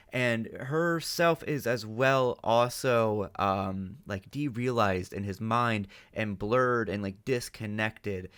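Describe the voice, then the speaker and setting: A man giving off-the-cuff reaction commentary as a host, halting and speculative as he thinks aloud. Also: medium pitch, smooth. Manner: halting, speculative, mild swearing.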